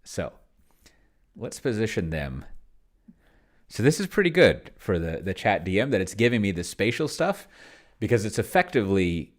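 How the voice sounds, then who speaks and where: Male game commentator, notably low-pitched, casual and rambling, talking himself through each decision. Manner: rambling, musing to himself as he works. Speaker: solo tabletop role-player and host. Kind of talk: casual think-aloud gameplay commentary.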